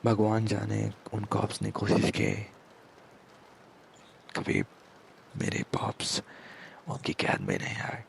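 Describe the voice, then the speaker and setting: A man voicing a smug, possessive mafia boss in an intimate ASMR audio roleplay, with a gravelly, halting murmur and menacing endearments. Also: lowish voice, big pitch swings, a little hiss or hum, exaggerated voice.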